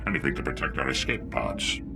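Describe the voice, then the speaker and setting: An actor playing a boastful, self-serving and cowardly enemy station technician in a video game, speaking in a theatrical, pompous way. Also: male voice, rumbling low, fairly quick, creaky. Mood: grudging.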